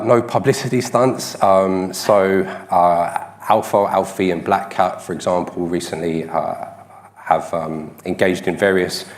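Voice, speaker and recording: A man's voice: low-pitched, darkish tone. A man giving a technical conference talk, a bit congested from a cold, with a self-deprecating, filler-heavy delivery. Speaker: conference speaker.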